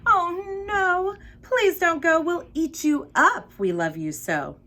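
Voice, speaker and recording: Clingy wild storybook monsters, played by a woman, wailing and pleading. The voice jumps up high and swoops dramatically. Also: lively intonation, slow, sharp tone, full character voice. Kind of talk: storybook character voice.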